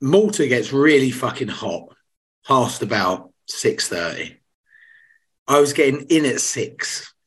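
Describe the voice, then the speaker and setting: A young man with a hoarse voice, chatting casually as a podcast co-host and telling rambling, sweary stories. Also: medium pitch, sing-song, takes it slowly. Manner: tired, rambling storytelling with swearing. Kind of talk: casual chat.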